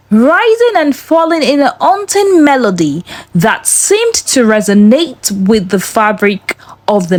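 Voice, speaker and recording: Woman narrator, dramatic suspense storytelling, slow measured pace, dry close sound, scripted tale. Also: a little high, dry recording.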